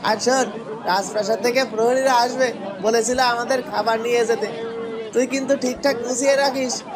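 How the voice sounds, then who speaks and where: A man's voice: very high-pitched, fairly even intonation, moderate pace. A man voicing a sensible, cautious young village snack seller in a fairytale cartoon, with music and a market crowd behind. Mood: earnest, bustling. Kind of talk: Bangla fairytale cartoon voice acting.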